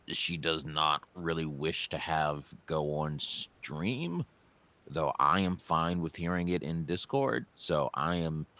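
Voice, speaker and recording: A man co-hosting a livestream, chatting casually with self-deprecating humor, and relaying a guest's words as he rambles along. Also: bright, forceful tone. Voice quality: very deep bass voice. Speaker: stream co-host relaying a guest.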